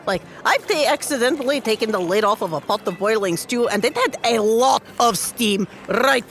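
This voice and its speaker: A woman's voice: on the high side, expressive, normal speed, fairly bright tone. A woman playing a big, hearty female adventurer in a tabletop game, casual and plainspoken. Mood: friendly.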